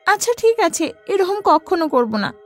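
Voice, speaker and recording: A woman's voice: extremely high pitch, big pitch swings, moderate pace, dry recording, faint music behind, pitched above the performer's own voice. A woman voicing an anxious young wife and mother in a cartoon story, frightened and pleading. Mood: meek. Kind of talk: cartoon voice acting.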